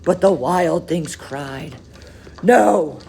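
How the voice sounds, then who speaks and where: Slow, expressive man reading a storybook. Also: fairly high pitch, breathy edge. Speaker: storybook reader for schoolchildren. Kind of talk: expressive children's story read-aloud.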